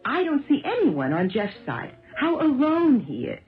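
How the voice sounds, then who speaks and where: Troubled determined American wife, hesitant and pleading, lively intonation, tinny 1970s radio drama recording. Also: female voice, sits high, a little slow, soft background music, exaggerated voice. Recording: tinny old radio broadcast.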